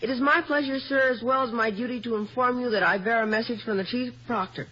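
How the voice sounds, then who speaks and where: An earnest young student messenger in a vintage radio play. He recites formal announcements rapidly and stiffly in a rough-edged voice. The broadcast recording is muffled. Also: very high-pitched, steady tone, bright, forceful tone, full character voice. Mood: earnest, ceremonious. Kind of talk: 1950 American radio comedy acting.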